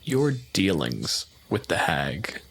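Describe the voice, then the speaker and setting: Man, game master, low voice, casual, inquisitive. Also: sing-song, takes it slowly, creaky, a bit bright. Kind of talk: tabletop game narration and questions.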